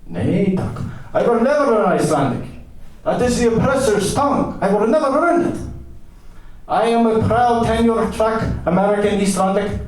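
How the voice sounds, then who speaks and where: A deranged American drifter, played by a man reading a story, declaims with pompous grandeur and pleads desperately. The voice is pushed high and loud, and it rings in an echoey room away from the microphone. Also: animated, moderate pace. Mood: manic, pompous. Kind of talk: dramatic audiobook-style story reading. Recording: echoey room, distant from mic.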